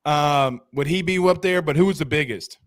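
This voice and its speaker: A man hosting a sports talk show, chatting and asking questions in an informal, animated fan's way. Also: fairly high pitch, normal speed, no echo, clean studio sound. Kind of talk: casual sports banter and questions.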